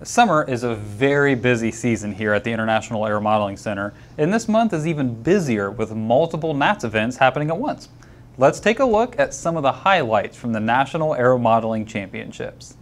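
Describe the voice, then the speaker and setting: A man reads scripted news segments as a co-host, with a very lively, swinging intonation. He slips into dry humor during the banter. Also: medium pitch, fairly quick. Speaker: show co-host.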